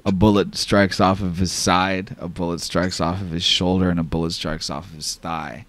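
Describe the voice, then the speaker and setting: A man's voice: low-pitched, flat delivery, moderate pace, fairly bright tone. A man narrating an improvised tabletop sci-fi horror game as game master, in a casual, profane, dramatic way.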